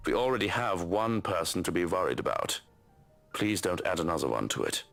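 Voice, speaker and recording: A man voicing a stern, protective butler in a game story, speaking formally and with measured firmness. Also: low-pitched, big pitch swings, gravelly, a bit bright, voice pushed down, exaggerated voice. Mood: stern pleading. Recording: music under the voice.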